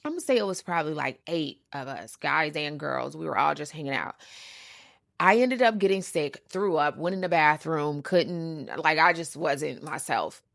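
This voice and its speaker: Sassy lady doing a casual storytime, kinda low voice w lots of fry, emphatic and slangy. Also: animated, moderate pace, a bit bright, no echo, clean studio sound. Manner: uses slang and swearing. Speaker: storytime podcast host.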